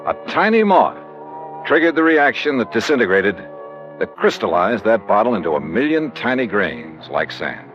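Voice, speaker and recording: Radio detective lead: tough, wry, clipped narrator with animated pitch, dull vintage recording. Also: male voice, normal speed, faint music behind. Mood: tense narration. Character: tough, wry male insurance investigator narrating his case. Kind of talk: old-time radio detective narration and acting.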